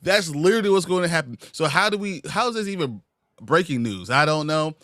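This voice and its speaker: A man co-hosting a casual chat show, ranting with animated, heated energy. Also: on the high side, a little fast, no echo, studio quality.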